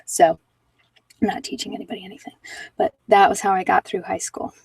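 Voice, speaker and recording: A woman's voice: medium pitch, little pitch movement, fairly quick, creaky, darkish tone, slightly rough, no room sound, far from the mic. A woman chatting casually and candidly with live viewers as a livestream host, in an informal, self-deprecating, rambling way. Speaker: livestream host giving card readings.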